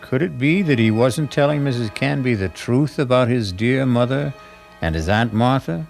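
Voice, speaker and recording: A man's voice: medium pitch, expressive, moderate pace, gravelly. A man narrating an old radio mystery as host, with a wry, theatrical delivery. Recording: vintage radio recording with music.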